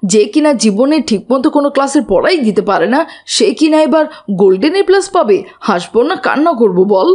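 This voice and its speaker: A husky, fairly high cartoon schoolboy voice played by a woman. He sounds sensible yet mocking, chiding. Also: big pitch swings, a little fast, darkish tone. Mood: amused, mocking. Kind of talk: scripted cartoon dubbing.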